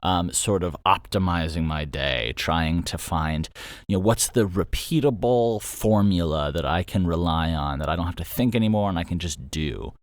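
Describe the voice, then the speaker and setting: A man's voice: deep voice, moderate pace, no echo. A man hosting a casual, unscripted solo update about his creative work, thinking aloud in a loose, rambling way. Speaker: audio drama creator and host.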